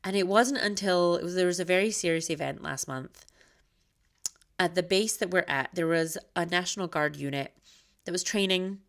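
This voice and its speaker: Podcast host, thirties, woman from Scotland; light Scottish-American blend, lowish voice, earnest and open. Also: moderate pace. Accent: slight Scottish mixed with American. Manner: earnest and candid, conversational. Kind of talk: personal podcast monologue.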